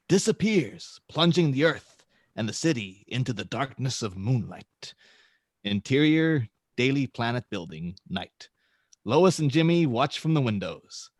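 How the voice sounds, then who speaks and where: A man narrates screenplay directions at a table read. His voice is of average pitch for a man, recorded close and dry. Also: no room sound. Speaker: narrator reading stage directions.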